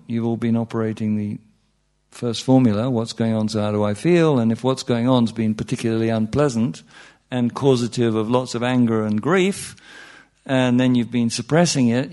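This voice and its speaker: A man's voice: mid-range voice, a little mellow. A man giving an informal, joking talk as a meditation teacher, often checking in with his listeners. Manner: conversational, joking, checks in with "yeah?" and "you know". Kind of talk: informal spiritual talk to a group.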